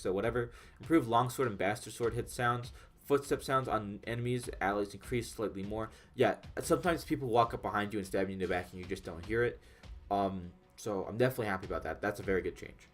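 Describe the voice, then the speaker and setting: A congested young man with a nasal voice, casually rambling and swearing as he reads game patch notes aloud. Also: on the low side. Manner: rambling, profane, frequent fillers and restarts. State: congested, under the weather. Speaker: gaming YouTuber. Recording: faint background music.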